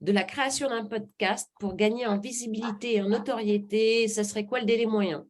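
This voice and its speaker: A woman's voice: a little high, steady tone, fairly quick. Woman fielding webinar questions. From Normandy, France. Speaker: webinar presenter and marketing trainer. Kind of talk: conversational advice, fielding audience questions.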